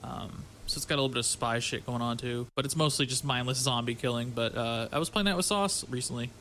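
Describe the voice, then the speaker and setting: A man with a bright, mid-pitched voice co-hosts a podcast over faint background noise. His talk is casual, laid-back and full of slang and swearing. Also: quick pace. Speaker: podcast co-host.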